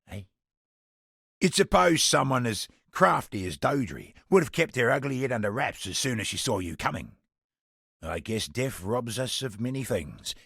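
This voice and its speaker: An actor playing a sardonic, roguish antiquities trader in a fantasy video game, with a gravelly, husky British voice and a wry, colloquial way of talking. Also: male voice, on the low side, fairly bright tone, dry recording, studio quality, heavily acted. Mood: wry, pleased. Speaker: game non-player character merchant. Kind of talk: theatrical video game character dialogue.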